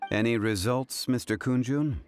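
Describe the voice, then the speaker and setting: A man playing a composed, learned gentleman in a video game, speaking in a measured, formal, authoritative way. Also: medium pitch, slight background noise, lightly acted. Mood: calm, directing. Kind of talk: video game character acting. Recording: music behind the voice.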